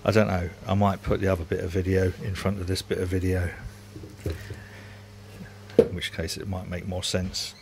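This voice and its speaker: A man's voice: sits low, monotone, normal speed, creaky, pauses often, very noisy. A man, rambling casually and self-mockingly while filming his home brewery workshop on a handheld phone.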